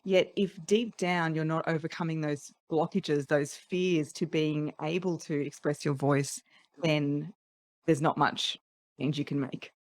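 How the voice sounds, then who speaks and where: A woman's voice: low-pitched, steady tone, a little slow, dry recording. A middle-aged woman with an Australian accent, a voice coach speaking articulately and laughing lightly between points in a podcast interview. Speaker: voice coach guest. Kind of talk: casual interview chat.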